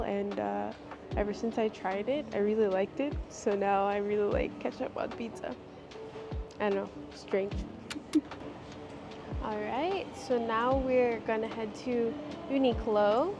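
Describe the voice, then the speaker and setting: A woman in her thirties talks to a handheld camera as she wanders a mall, her voice sitting a little on the high side. She speaks in an unhurried, chatty way, slips in small giggles, and phrases things a touch formally. Store music plays clearly behind her. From Washington state, USA. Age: 30s. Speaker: vlogger. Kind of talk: casual vlog chat while shopping. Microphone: handheld gimbal camera.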